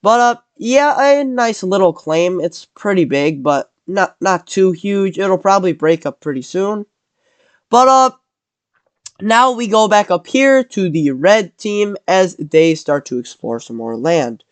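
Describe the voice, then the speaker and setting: A man's voice: high voice, animated, measured pace, clear, resonant tone, no room sound. A man with a cold narrating a map scenario in casual commentary, rambling and correcting himself as he goes. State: has a cold.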